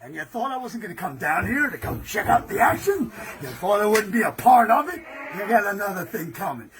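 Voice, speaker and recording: A man's voice: sits high, big pitch swings, brisk. A man from the United States, a pro wrestler cutting a brash, profane, boastful promo. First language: English. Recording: loud background noise.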